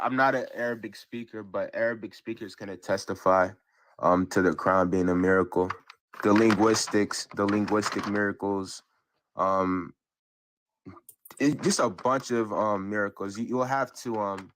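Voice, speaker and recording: A man's voice: fairly low pitch, fairly even intonation, normal speed, background noise. A man debating religion who hesitates, stumbles over his words and gets defensive when pressed. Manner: hesitant, many fillers and restarts, defensive.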